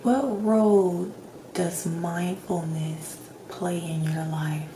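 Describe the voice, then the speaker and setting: A woman speaking softly, at times in a whisper, in a gentle, reassuring ASMR roleplay as a doctor questioning a patient. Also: on the low side, fairly even intonation, slow. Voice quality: soft, partly whispered. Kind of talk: soft-spoken ASMR roleplay. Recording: roomy echo, clear background noise.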